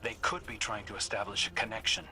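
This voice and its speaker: A man's voice: fairly low pitch, flat delivery, quick pace, sharp tone, a little hiss or hum. A man voicing a seasoned male fighter in a video game, talking in a plainspoken, conversational way. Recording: game audio over ambience.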